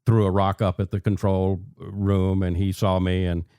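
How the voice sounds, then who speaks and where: An American man hosting a radio show in an animated, conversational way, with emphatic, repeated phrases. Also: low-pitched, moderate pace, smooth, no echo, clean studio sound.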